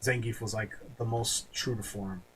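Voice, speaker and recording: A man's voice: lowish voice, fairly even intonation, normal speed, a crowd in the background, low-quality recording. A man co-hosting a podcast in casual chat, blunt and slangy, swearing freely. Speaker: podcast co-host. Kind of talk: casual chat about video game movies.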